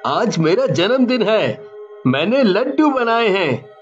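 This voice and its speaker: A man acts out a miserable young husband, worked to the bone in a cartoon horror tale. His voice is high and plaintive, and he drags his words slowly. The sound is slightly dull, with music underneath. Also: big pitch swings, exaggerated voice. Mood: eager, placating. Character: miserable, overworked young husband enslaved by a witch. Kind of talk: Hindi cartoon horror story acting.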